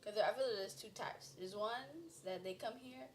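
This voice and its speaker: A woman guest in a casual conversation who talks in an animated way, laughs easily and fills her speech with "like" and "you know.". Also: fairly high pitch, normal speed, slight background noise. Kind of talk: casual podcast chat.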